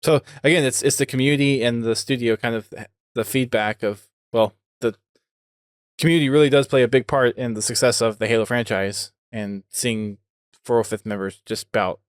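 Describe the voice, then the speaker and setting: A man's voice: mid-range voice, steady tone, moderate pace, dry recording, studio quality. A man hosting a podcast in casual conversation, thinking aloud as he talks. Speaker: podcast host.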